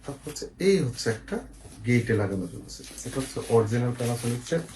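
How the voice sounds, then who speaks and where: A man's voice: medium pitch, lively intonation, fast, a little mellow. A man with a Bangladeshi accent casually presenting and explaining products as a seller, often checking in with the viewer. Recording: echoey room, faint noise, clicks.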